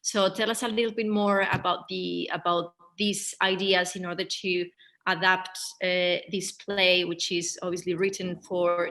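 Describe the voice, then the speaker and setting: Bright, flat-toned woman moderating. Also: fairly low pitch, rough recording. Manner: hesitant, circling toward the question. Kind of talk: unscripted interview questioning.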